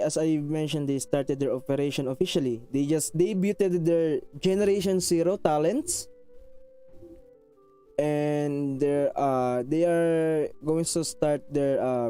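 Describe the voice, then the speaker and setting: Filipino man, slightly high, smooth voice, thick accent, chatty and self-conscious streamer. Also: takes it slowly, warm tone, soft background music. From Philippines. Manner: rambling, frequent restarts, self-conscious and apologetic; switches into Tagalog phrases. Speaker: VTuber streamer. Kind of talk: casual chat with live viewers.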